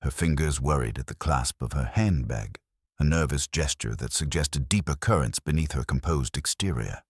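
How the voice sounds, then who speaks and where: A man's voice: rumbling low, animated, normal speed, breathy edge, dry recording, clean studio sound. A man reading a mystery story as a formal, descriptive audiobook narration.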